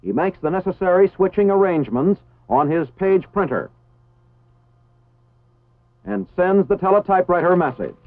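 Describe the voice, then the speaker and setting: A man with an American accent reading formal, scripted instructional narration in a measured, precisely enunciated way. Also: sits high, expressive, no room sound. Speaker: training film narrator. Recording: tinny phone-like 1960s film soundtrack.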